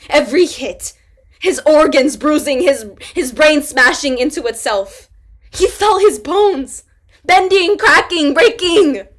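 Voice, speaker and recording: An actress reading the part of a grieving young pregnant woman, speaking haltingly and dropping to near whispers. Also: high voice. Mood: anguished. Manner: halting, trailing into quiet, near-whispered confessions. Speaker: actor in a staged play reading. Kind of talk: staged play reading.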